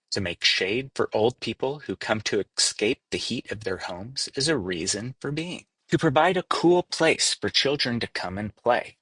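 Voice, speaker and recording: A man voicing a wise, calm, reassuring male leaf in a storybook, explaining things matter-of-factly. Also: fairly low pitch, slightly rough, dry recording, exaggerated voice. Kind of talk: storybook read-aloud.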